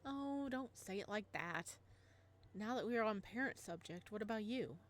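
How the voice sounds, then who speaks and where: A woman reading a gentle teacher dad. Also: medium pitch, expressive, moderate pace, lightly acted. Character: gentle adult male teacher and adoptive father.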